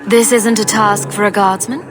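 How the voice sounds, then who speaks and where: A woman's voice: medium pitch, fairly even intonation, moderate pace, music in the background, lightly acted. An actress playing a self-assured female adventurer in a fantasy video game, direct, composed and probing. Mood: confident.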